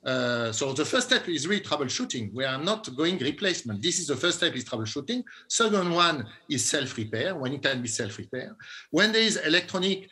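Executive, fifties, male, bright clear tone, walks through steps on a webcam. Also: on the high side, sing-song, a little fast. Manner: explanatory, stepwise, with fillers. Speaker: company executive panelist. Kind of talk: panel discussion answer by video call. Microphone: video call webcam.

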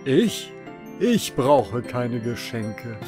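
A man's voice: mid-range voice, sing-song, very slow, over music. A man voicing a friendly, modest old man in the moon in a children's audio story, genial and theatrical.

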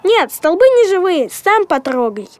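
A woman's voice: very high voice, very animated, a little slow, noisy background, exaggerated voice. A woman voicing a small, earnest know-it-all child creature who explains and corrects in a children's cartoon. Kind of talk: children's educational cartoon acting. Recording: music behind the voice.

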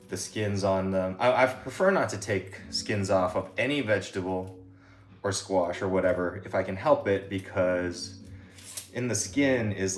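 A man in his thirties hosting a casual cooking livestream from his home kitchen, talking in a loose, rambling way with many fillers. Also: low-pitched. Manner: relaxed, rambling, lots of "like" and "um". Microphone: camera set a few feet away.

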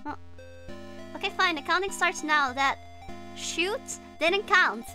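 Bright high-voiced woman with non-native accent. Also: expressive, slow. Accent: non-native English. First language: not English. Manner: chatty and jumpy, screams and giggles when startled. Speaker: virtual streamer. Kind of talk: live gameplay commentary reading chat. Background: game music.

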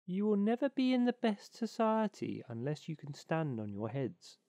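A prim mother duck, proper and lecturing, pitched higher than the narration, as a man puts her on. The reading has a dry, close sound with a touch of grit. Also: big pitch swings, normal speed, dark tone, no room sound.

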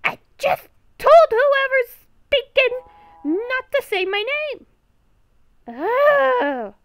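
Nervous young werewolf woman, acted by a female gamer, Minecraft roleplay; halting, repetitive speech, high register, very animated pitch. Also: heavily acted. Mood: exasperated, alarmed. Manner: halting, stammering, repeats herself. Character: nervous young woman werewolf, hearing ghostly voices at night.

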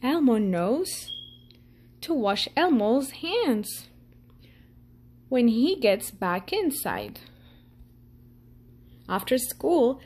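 A woman reading a children's picture book aloud in a gentle storytelling manner. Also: on the high side. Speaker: storytime reader.

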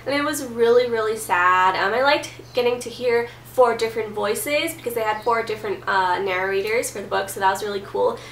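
A woman's voice: fairly high pitch, fairly quick, few pauses, fairly bright tone, far from the mic. A young woman in her twenties talks casually from her bedroom, her voice stuffy from a cold. She is recorded by a camera mic set some way off, so the sound is roomy with a faint hiss. Her talk is chatty and full of "like" and "um". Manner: chatty, lots of "like" and "um". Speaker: book vlogger. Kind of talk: casual chat. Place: bedroom, indoors. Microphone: camera mic at a distance.

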